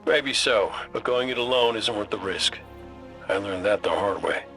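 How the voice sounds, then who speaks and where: A man's voice: on the low side, moderate pace, a bit bright. An actor playing a cocky, easygoing robot gunslinger in a video game, wry and flippant in his delivery. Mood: rueful. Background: game music.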